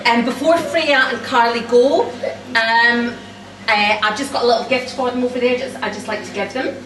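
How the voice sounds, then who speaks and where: A woman's voice: on the high side, normal speed. A woman hosting an event, speaking informally to an audience. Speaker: event host and author. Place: busy cafe book launch, indoors. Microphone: distant camera microphone. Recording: roomy, distant, noisy crowd and loud music.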